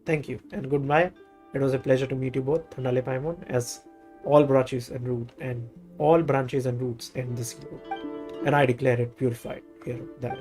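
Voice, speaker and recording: A man who speaks natively, streaming a game and reading its dialogue aloud in a halting way, correcting himself as he goes. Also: medium pitch, steady tone, darkish tone. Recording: background game music. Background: music.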